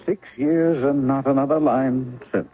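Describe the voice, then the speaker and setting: An actor playing a rueful, blocked novelist with a wry, self-mocking manner. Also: male voice, mid-range voice, fairly even intonation, a little slow, natural voice. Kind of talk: 1970s radio drama acting. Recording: narrow 1970s radio broadcast, faint music.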